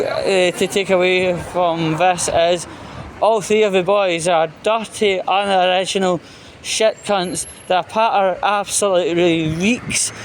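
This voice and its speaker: A Scottish man with a high-pitched voice talks slowly and haltingly in a blunt, aggrieved vlog rant full of swearing. He is recorded outdoors, with clear traffic noise around him. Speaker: vlogger. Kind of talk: outdoor rant to camera, casual monologue.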